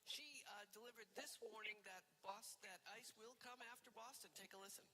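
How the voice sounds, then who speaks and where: A radio host runs a call-in show, setting up topics briskly and repeating the call-in number. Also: mid-range voice, long reverb tail, noisy background. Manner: brisk, conversational, reads the phone number. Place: radio studio.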